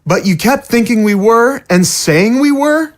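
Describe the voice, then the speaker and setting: A man's voice: very high-pitched, lively intonation, a little slow. A man playing a casual undead boy in an audio drama, frank and offhand in his delivery. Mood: challenging.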